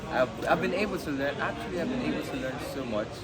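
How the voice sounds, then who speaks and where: A young Nigerian man with a Nigerian accent, a native Yoruba speaker, answering interview questions in an earnest, measured way. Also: on the high side, lively intonation. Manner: earnest, measured, speaks of himself in third person at times. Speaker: pageant contestant. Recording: loud crowd noise behind. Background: crowd chatter.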